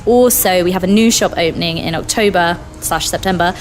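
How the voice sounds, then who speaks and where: A woman's voice: on the low side, a little fast, slight background noise, low-quality recording. A woman giving a casual, chatty interview as a guest events coordinator, informal and effusive in how she talks.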